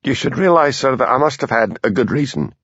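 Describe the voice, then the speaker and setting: A man voicing a nervous, earnest police constable with a Highland Scottish accent, in a dull lo-fi recording. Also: expressive, fairly quick, a bit bright, husky, dry recording. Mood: pleading. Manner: earnest, pleading.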